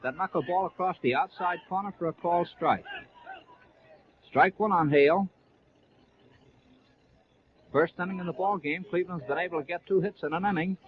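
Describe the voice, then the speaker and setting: A man's voice: fairly high pitch, moderate pace, pauses often. An American man calling baseball play by play as a 1930s radio announcer, narrating each move in clipped present-tense phrases. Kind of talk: 1930s live sports radio commentary. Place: live at a ballpark. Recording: muffled, noisy 1930s AM radio broadcast.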